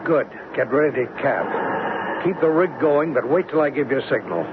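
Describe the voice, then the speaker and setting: An actor playing an impatient, blustery oil drilling boss in a radio drama, brusque and sarcastic. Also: male voice, medium pitch, animated, normal speed, close to an everyday voice. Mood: commanding. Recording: noisy, muffled old radio broadcast.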